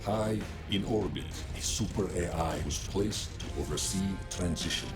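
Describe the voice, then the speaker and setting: An actor playing a solemn storyteller, narrating in a grave, measured, portentous way as in a cinematic game. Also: male voice, low voice, lightly acted. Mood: grave, urgent. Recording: loud music under the voice.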